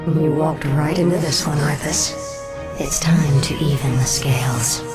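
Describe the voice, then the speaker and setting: A woman's voice: low-pitched, steady tone, measured pace, loud music behind the voice. An actress playing a cold, bitter, proud undead elven queen in a video game cutscene. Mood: cold, vindictive.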